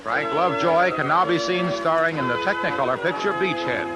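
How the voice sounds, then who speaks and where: A man's voice: fairly high pitch, brisk, loud music behind the voice, low-quality recording. An American man reading 1950s radio advertising as a polished, emphatic commercial announcer. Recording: hissy old radio recording.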